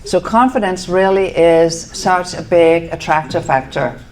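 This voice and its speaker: A middle-aged woman from Denmark speaks with a Danish accent, her voice sitting a little low. She presents to a live audience in an easy, conversational way, with fillers and quick self-corrections. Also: a little mellow, rough recording. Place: event venue.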